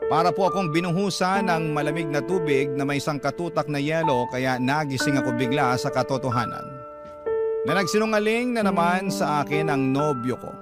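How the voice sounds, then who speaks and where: A man's voice: a little high, a little fast. Earnest male radio narrator over music. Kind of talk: first-person scripted narration of a listener's letter.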